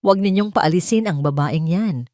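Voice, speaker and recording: A woman's voice: lowish voice, moderate pace, warm tone, no echo, clean studio sound, close to an everyday voice. A woman voicing a cold, authoritative man, a doctor giving terse orders in an audio story. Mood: cold, commanding. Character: cold, commanding male doctor protecting his children.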